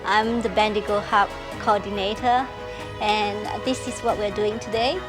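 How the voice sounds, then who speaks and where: A woman's voice: medium pitch, fairly even intonation, moderate pace. A woman working as a coordinator, explaining the day's activities casually with many fillers. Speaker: hub coordinator. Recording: loud music behind the voice.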